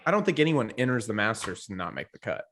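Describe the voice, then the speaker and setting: A man's voice: on the low side, sing-song, fast, fairly bright tone. A man speaking casually as a co-host in a sports discussion.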